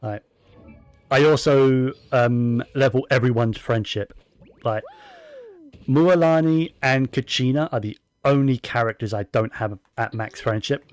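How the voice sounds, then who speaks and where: A man's voice: mid-range voice, a little slow, darkish tone, no room sound. Guy chatting over his game, rambly and easygoing, dry close mic, faint music behind. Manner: chatty, rambling, with fillers and restarts. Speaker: gaming streamer. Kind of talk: casual gameplay commentary.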